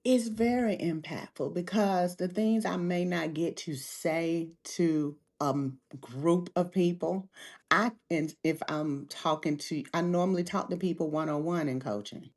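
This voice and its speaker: A woman with a low voice and a gravelly edge answers interview questions as a guest life coach. She speaks in an earnest, encouraging way, with a deep register and a fry running through her words. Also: a little slow, a little mellow. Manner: earnest, faith-centered encouragement.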